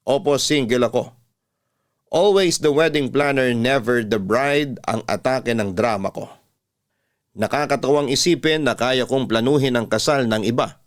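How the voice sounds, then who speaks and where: A man with a Filipino accent reading a scripted first-person story as a dramatic radio storyteller. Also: medium pitch.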